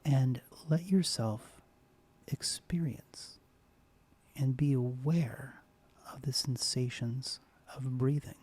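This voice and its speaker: A man hosting a soft-spoken ASMR guided meditation, speaking slowly and gently, often dropping into a whisper. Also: medium pitch, slightly rough. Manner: slow, soft, partly whispered. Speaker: ASMR host.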